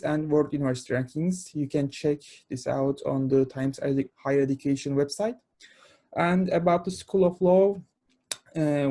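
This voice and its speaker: A man's voice: on the high side, mellow. A young man, a research assistant giving a webinar lecture on international law, explaining steadily with some fillers.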